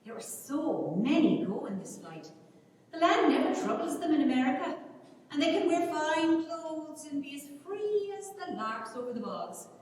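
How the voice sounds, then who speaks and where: Woman acting high-voiced young country woman, echoey hall. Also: big pitch swings, moderate pace, a little mellow. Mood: chatty, earnest. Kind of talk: staged dramatic reading of a play. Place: large reverberant hall at a public event. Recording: echoey hall, far from microphone, noisy.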